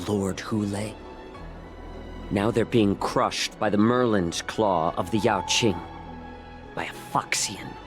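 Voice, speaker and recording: An actor playing a composed, clever captive in a video game, calm and measured, with a coolly taunting edge. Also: male voice, lowish voice. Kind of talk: dramatic video game voice acting.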